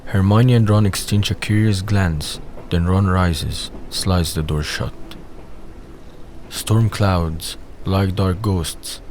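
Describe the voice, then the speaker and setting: A man's voice: low-pitched, fairly even intonation, takes it slowly, darkish tone, a little hiss or hum. A man reading scripted narration for an audio drama.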